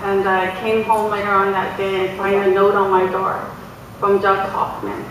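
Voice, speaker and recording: A young adult woman giving a halting, emotional speech to a gathering, with many pauses and restarts and a loud voice. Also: mid-range voice, monotone. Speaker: guest speaker, community organizer. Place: large echoey hall. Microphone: far from the mic. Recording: echoey hall from far off, noisy.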